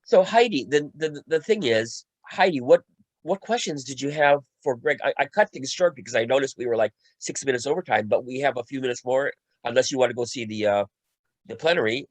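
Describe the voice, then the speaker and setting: A man's voice: mid-range voice, no room sound. A man with a mid-range voice and a very dry sound speaks in a casual online discussion. He often repeats words and short phrases as he talks. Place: online video call.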